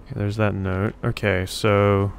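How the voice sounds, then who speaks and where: A man's voice: lowish voice, flat delivery, a little slow, gravelly, a little hiss or hum. A man giving casual game commentary, musing to himself as he works things out. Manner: thinking aloud, musing to himself. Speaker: let's play host.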